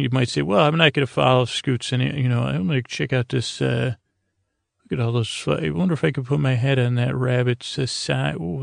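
A man hosting a bedtime podcast in a rambling, halting, goofy monologue, repeating and stammering as he drifts along, with a creaky, gravelly voice. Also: mid-range voice, dry recording, clean studio sound. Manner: goofy, oddball. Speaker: podcast host.

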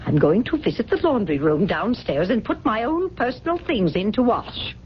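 A woman's voice: medium pitch, very animated, moderate pace, lightly acted. An actress playing a convalescent older mother in a radio drama, genteel, affectionate and stubbornly loyal. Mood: bright, affectionate. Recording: dull, noisy 1970s radio broadcast.

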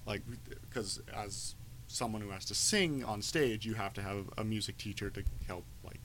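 A man's voice: on the low side, normal speed, a bit bright, a little hiss or hum. Young man telling a rambling story. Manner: wandering storyteller, restarts and ums. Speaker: podcast co-host. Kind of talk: casual storytelling.

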